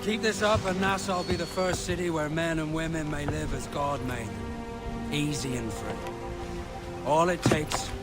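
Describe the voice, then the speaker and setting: Seasoned pirate captain for a game male actor: commanding, high-pitched, declamatory, over loud score. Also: unhurried, over loud music, close to an everyday voice. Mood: easy authority. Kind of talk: video game cutscene acting.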